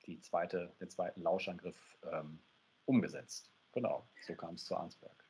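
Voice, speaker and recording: A man's voice: deep voice, steady tone, a little slow, dark tone, a little hiss or hum. A man in his thirties explaining things casually as an interview guest on a video call. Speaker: interview guest, event organiser. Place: dim indoor room. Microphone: wired earphones on video call.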